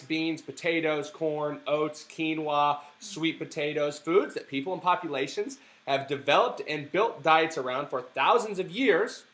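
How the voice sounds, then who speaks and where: A man with a somewhat high voice, worn hoarse by a sore throat, hosts a live stream from a family home. He talks with eager, preachy conviction, looping through fillers and restarts. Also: a bit bright. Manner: enthusiastic, preachy advocacy with many fillers and restarts. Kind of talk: casual live-stream chat.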